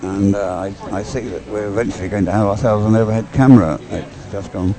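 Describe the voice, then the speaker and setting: A man's voice: fairly low pitch, fairly quick, over music. A man giving unscripted live sports commentary in a hesitant, rambling, stop-start way.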